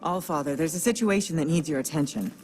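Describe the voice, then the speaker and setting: An actress playing a proud, disapproving goddess in a video game, speaking in a clipped, icy way. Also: female voice, deep voice, fast, darkish tone, natural voice. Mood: cold disdain. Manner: clipped, icily sarcastic. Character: cold, proud goddess, a disapproving household matriarch. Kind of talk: video game cutscene acting. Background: background music.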